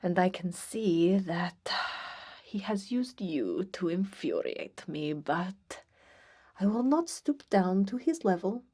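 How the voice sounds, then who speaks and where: An ancient, haughty female vampire, played by a woman, speaks in a breathy, hushed whisper. Her delivery is slow and deliberate, full of formal, cold disdain, as in an ASMR roleplay. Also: mid-range voice, heavily acted. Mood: cold contempt. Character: haughty, cold, centuries-old female vampire blood hunter recruiter.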